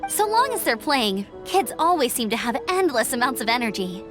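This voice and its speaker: A woman voicing a cheerful, earnest young female knight in a video game, chatty and expressive. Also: on the high side, moderate pace, over music.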